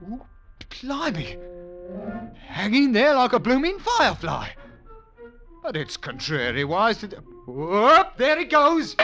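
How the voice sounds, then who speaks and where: Excitable, pompous Cockney man. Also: high voice, heavily acted. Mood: flustered, amazed. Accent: English Cockney. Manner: pompous, blustering, ungrammatical with grand words. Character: pompous, excitable working-class Englishman who thinks himself scientific. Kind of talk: old-time radio comedy acting, first-person storytelling. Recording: vintage 1940s-50s radio recording.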